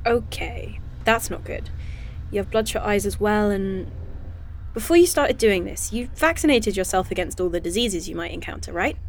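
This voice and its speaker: A woman's voice: mid-range voice, animated, a bit bright, a little hiss or hum. Woman playing a wry, no-nonsense virologist medic. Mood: concerned, firm. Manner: dry, brisk, informal. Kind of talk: naturalistic audio drama acting.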